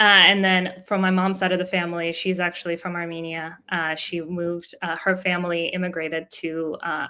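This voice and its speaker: Earnest young woman, bright forceful voice. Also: fairly low pitch. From Russia. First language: Russian. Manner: earnest and open, many "um" fillers. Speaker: guest, startup co-founder. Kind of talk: podcast interview, personal story.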